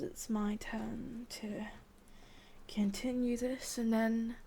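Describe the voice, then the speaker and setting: A woman with an English accent playing a sadistic, possessive but secretly tender witch in a breathy, whispered ASMR roleplay. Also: a little high, dark tone, heavily acted. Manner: slow, halting, teasing, swears under her breath.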